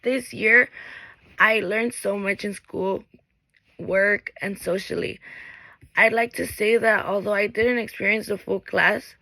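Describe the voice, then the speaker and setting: Creaky bright young woman. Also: mid-range voice, fairly even intonation, measured pace, dry recording, rough recording. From Mexico. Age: late teens. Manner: earnest, reads from a script, with small restarts. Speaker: graduating high school student. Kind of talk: reading a prepared graduation speech.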